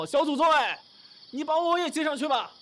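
A man's voice: very high-pitched, sing-song, measured pace, background noise, exaggerated voice. An actor in an audio drama playing a modern young man who is doting, exasperated and secretly lovesick. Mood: caring, coaxing.

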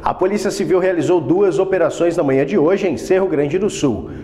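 A man's voice: fairly high pitch, brisk, mellow. A young man presenting scripted news as an anchor. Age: young adult. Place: indoor studio room by a window. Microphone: lapel. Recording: echoey room.

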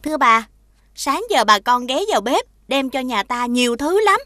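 An actress playing a respectful, eager household maid in an animated folktale, deferential and cheerful. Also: female voice, sits high, moderate pace, dry recording, studio quality, heavily acted.

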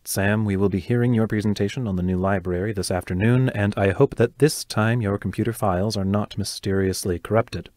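A man's voice: fairly low pitch, steady tone, a little fast, hardly any pauses, a little mellow, no echo, lightly acted. An actor playing a stern, strained male schoolteacher in an audio play, formal and clipped in his delivery. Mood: brisk, businesslike. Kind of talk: audio drama acting.

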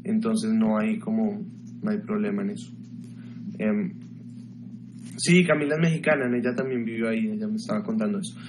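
A man's voice: on the low side, normal speed, pauses often, noisy background. A young man from Ecuador chatting casually with live viewers from his bedroom, gossiping and answering their questions into a webcam mic. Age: young adult. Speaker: livestreamer. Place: small bedroom, indoors. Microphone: webcam mic at desk.

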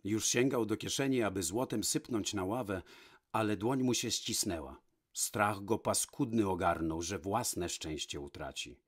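A man narrating a fairy tale from a script, with measured, dramatic pauses. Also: on the low side, husky. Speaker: storyteller, narrator.

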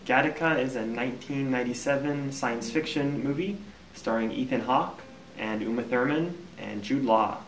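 A man in his thirties from Kansas with an American accent, a university teacher answering interview questions in a relaxed, plain-spoken way. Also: mid-range voice, moderate pace, reverberant, distant mic, rough recording. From United States, Kansas. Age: 30s.

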